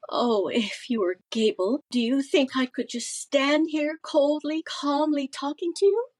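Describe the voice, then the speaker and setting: A woman playing a poised, glamorous film actress in 1930s-style radio comedy, with a deliberate, theatrical delivery. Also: high voice, darkish tone. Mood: cool, frank.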